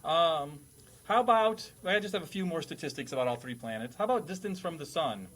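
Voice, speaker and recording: A man with a fairly high voice hosts a comedy panel quiz. He speaks with mock-teacherly formality and a halting delivery, as if running a classroom. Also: expressive, a bit bright. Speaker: host and quizmaster playing a classroom teacher.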